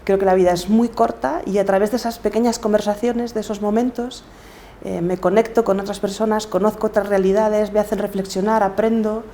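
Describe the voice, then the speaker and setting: A woman's voice: mid-range voice, steady tone, a little fast, mellow, slight background noise. A middle-aged woman with a Galician accent, talking reflectively as a guest in an interview. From Galicia, Spain. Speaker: podcaster and coach, interview guest.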